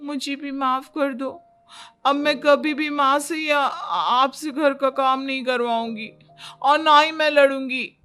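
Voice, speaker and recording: A woman voicing an indignant young Indian wife in a cartoon story, with a shrill, theatrical, complaining manner. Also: steady tone, takes it slowly, sharp tone, faint music behind, exaggerated voice. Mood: apologetic. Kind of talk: exaggerated cartoon story dialogue.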